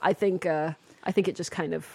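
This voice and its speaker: A woman's voice: on the low side, steady tone, creaky, mellow, breathy edge. A woman podcast co-host from West Virginia, chatting casually and laughing often in an easygoing way. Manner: giggly, easygoing, quick to laugh. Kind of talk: casual chat.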